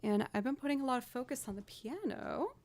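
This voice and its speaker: A young woman with a low voice, chatting casually and rambling to her live stream audience. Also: sing-song, fairly quick, a little mellow, no room sound, faint music behind. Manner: chatty, rambling, self-deprecating. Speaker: streamer and musician.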